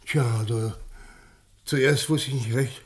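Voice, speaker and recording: An actor in a German radio play, playing a shaken, tipsy village man who tells of an uncanny sighting in a halting, insistent way. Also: medium pitch, lightly acted. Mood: shaken, awed. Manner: halting, stammering, insistent eyewitness telling.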